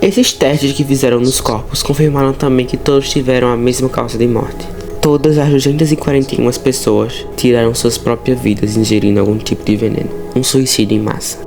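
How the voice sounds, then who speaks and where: A man's voice: mid-range voice, a little mellow. Brazilian man narrating horror over music. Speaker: host of a horror storytelling channel. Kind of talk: storytelling narration with casual asides. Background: music under the voice.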